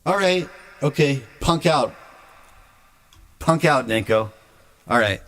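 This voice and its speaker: A man on a live stream, talking slowly and unhurriedly at an ordinary middle pitch. He rambles and restarts his sentences, often stammering before he gets the words out. His tone is grumbling and aggrieved. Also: lively intonation, fairly bright tone. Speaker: music streamer. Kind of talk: casual livestream chat.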